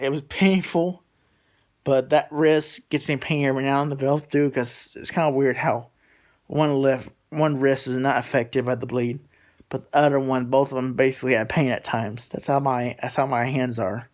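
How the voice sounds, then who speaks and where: A man in his late twenties talks off the cuff as a vlogger. His pitch is middling and stays fairly flat. He rambles from one thought to the next, often restarting and repeating himself in a casual, conversational style. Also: normal speed. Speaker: vlogger talking to subscribers.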